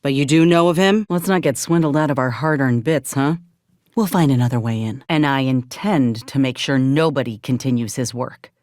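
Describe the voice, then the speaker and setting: Grim soldier outcast, studio-dry. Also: female voice, extremely low pitch, moderate pace, darkish tone, no room sound. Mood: guarded, challenging. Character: grim, resolute outcast woman soldier, drawling and principled. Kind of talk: video game character dialogue.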